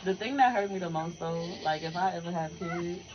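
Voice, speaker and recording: A woman's voice: lowish voice, fairly quick, a little mellow, dull audio. A woman co-hosting a podcast with her older sister, chatting casually in a giggly, heartfelt way. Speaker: podcast co-host. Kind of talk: casual chat between sisters.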